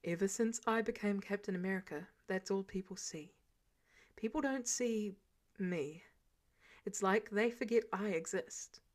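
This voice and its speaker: A woman voicing an earnest, weary male hero and team leader in a measured, subdued, sincere way for an audio story. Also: mid-range voice, natural voice. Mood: earnest, wistful.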